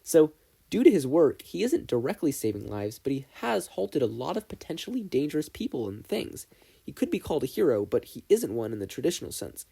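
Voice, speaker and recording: Guy reading as an earnest nerdy schoolboy, eager rambling explainer, soft husky voice, storybook style. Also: medium pitch, big pitch swings, brisk, exaggerated voice. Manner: eager, long-winded explaining. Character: earnest, nerdy teenage boy student arguing his case.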